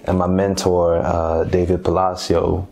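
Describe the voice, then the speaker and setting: Male interview guest from Haiti, native Creole speaker. Low, creaky voice, relaxed and slangy, repeats himself for emphasis. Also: measured pace. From Haiti, later Boston. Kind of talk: casual interview chat.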